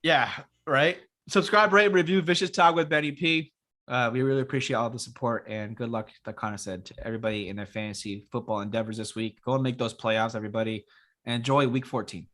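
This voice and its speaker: A young man co-hosting a casual fantasy football show, his voice raspy from being sick, talking in a relaxed, rambling way with many fillers. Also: mid-range voice, fairly quick. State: raspy after illness. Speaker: podcast co-host. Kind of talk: casual sports chat.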